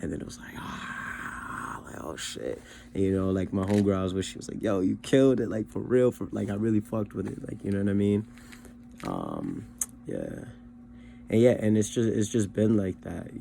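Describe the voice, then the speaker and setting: A man's voice: sits low, creaky, mellow, a little hiss or hum. A young man casually recapping his karaoke shows in a laid-back, slangy way, full of fillers like "you know what I mean". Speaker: rapper learning to sing, vlog host.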